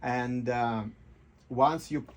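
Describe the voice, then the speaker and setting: A man with a mid-pitched voice answers as a radio interview guest. He explains step by step in short, halting phrases, often repeating words as he goes. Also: fairly even intonation, a little hiss or hum. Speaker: interview guest, life coach and kirtan teacher. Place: radio studio.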